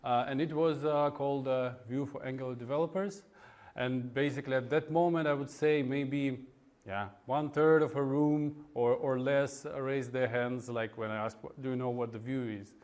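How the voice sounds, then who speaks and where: A man from Russia with a Russian accent giving an informal technical talk at a meetup, in a halting, hesitant way with frequent pauses. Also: medium pitch, fairly even intonation, darkish tone. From Russia (Moscow). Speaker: conference speaker, web developer. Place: indoor meetup room in front of an audience.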